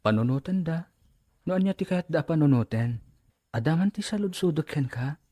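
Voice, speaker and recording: A man's voice: fairly high pitch, lively intonation, normal speed, dark tone. A man acting an earnest, serious family man in an Ilocano radio drama. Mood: earnest, wooing. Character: men of a family drama: stern father, earnest suitor.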